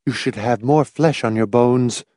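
A woman's voice: a bass voice, a little slow, dark tone, no echo, clean studio sound. A man reading a story, voicing a fussy, gushing, complacent old woman with a prim, matter-of-fact manner. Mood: genteel fussing. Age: older.

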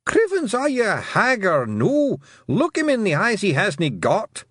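A man voicing a tiny, brash, scrappy blue warrior with a Scottish accent for a storybook, shouting and combative. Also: sits high, wildly swooping pitch, unhurried, slightly rough, no echo, clean studio sound, pitched above the performer's own voice, full character voice. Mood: urgent, bossy.

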